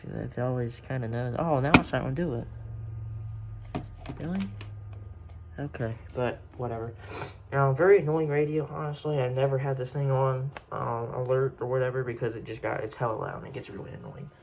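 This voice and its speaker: Creaky low-voiced man rambling casually. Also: steady tone, brisk, darkish tone, a little hiss or hum, poor audio. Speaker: hobbyist collector showing a radio collection. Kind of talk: casual unscripted show-and-tell.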